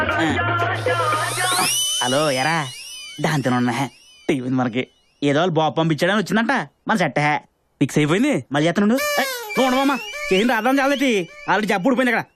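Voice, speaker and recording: A man playing a brash, chatty small-town shopkeeper relative in a comedy film, with a coastal Andhra Telugu accent and a rambling, overfamiliar manner. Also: sits high. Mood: teasing, overfamiliar. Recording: film soundtrack with music behind.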